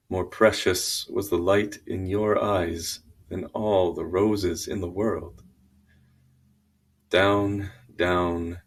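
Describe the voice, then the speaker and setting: Male poetry reader: deep, unhurried, grave and deliberate delivery. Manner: solemn, deliberate. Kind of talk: dramatic poetry reading.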